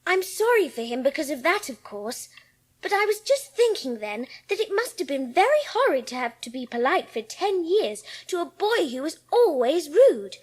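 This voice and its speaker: High, lively, blunt young girl. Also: normal speed. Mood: frank, scolding. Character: blunt, forthright young girl, unafraid to scold. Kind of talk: dramatised audiobook acting.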